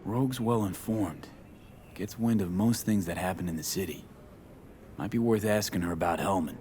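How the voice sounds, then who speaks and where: A man voices a tough young video game mercenary. The voice sits fairly low and comes in terse, cool fragments, stubborn and unfussy, over a faint rumble. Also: normal speed, a little mellow, natural voice. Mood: dry, determined. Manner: terse, drops subjects, matter-of-fact. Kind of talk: video game dialogue acting.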